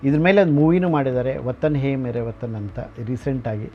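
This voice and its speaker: A man's voice: medium pitch, moderate pace. A man explaining and telling stories about his collection informally, conversationally mixing in English words. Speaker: radio collector and museum keeper. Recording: dull lo-fi sound, faint music behind.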